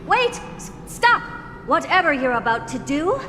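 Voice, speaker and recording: A woman's voice: high-pitched, very animated, measured pace, sharp tone, heavily acted. An actress playing a haughty, sardonic genius woman scientist in a video game, condescending, theatrical and commanding. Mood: alarmed, insistent. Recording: long hall reverb with music behind.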